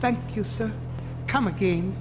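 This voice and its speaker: A prissy station clerk, high-voiced for a man, reciting rules with formal, exaggerated politeness. It is comic game acting in a long reverberant hall, with music underneath. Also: a little slow. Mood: pedantically polite. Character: officious, pedantic railway baggage clerk quoting regulations.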